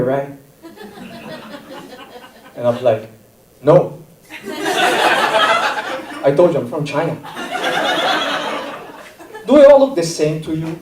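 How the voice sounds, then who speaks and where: Halting lively-voiced male stand-up comic. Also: a little high, a little hiss or hum. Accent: non-native English. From Brazil. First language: not English. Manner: self-deprecating, halting, laughs at own jokes. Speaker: stand-up comedian. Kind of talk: stand-up comedy set. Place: comedy theater stage. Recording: roomy live theater, mic at a distance.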